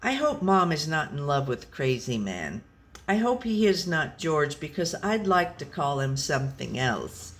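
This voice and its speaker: Slow, deadpan storybook pet read by a woman. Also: low voice, a little hiss or hum. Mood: wary, puzzled. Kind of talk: scripted storybook narration.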